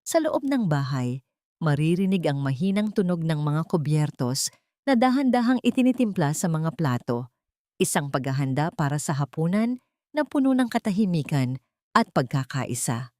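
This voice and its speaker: A woman with a Filipino accent narrating a scripted dramatic story in a measured, sentimental, literary way. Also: deep voice, big pitch swings, warm tone, dry recording, clean studio sound.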